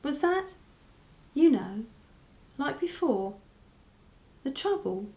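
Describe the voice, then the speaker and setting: A woman playing a gentle, anxious mother and wife in a radio play, a little hesitant. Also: high-pitched, very animated, slow pace, exaggerated voice. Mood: worried.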